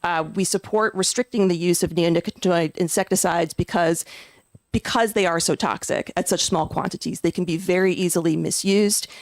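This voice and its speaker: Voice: mid-range voice, steady tone, a little fast, dry recording. A witness giving earnest, emphatic testimony at a legislative committee hearing, with frequent fillers and restarts. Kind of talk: public testimony.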